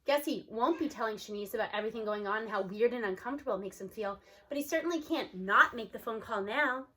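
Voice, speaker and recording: A woman reading a storybook aloud as narrator, expressively, with an animated manner for young listeners. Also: on the high side, quick pace. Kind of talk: expressive storybook read-aloud with character dialogue.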